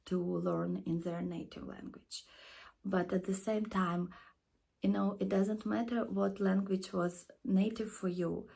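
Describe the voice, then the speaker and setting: A woman from Ukraine, a teacher and native Ukrainian speaker, giving a personal talk in accented, somewhat halting English. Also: lowish voice, gravelly, darkish tone, husky.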